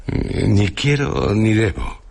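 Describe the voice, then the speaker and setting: An actor playing an ancient, venerable hermit sage near death, speaking solemn, grandiloquent Castilian Spanish in a radio drama. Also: male voice, big pitch swings, a little mellow, exaggerated voice. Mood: solemn, weary. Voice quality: deep, husky. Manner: slow, grandiloquent, declamatory. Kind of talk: Spanish radio theatre acting.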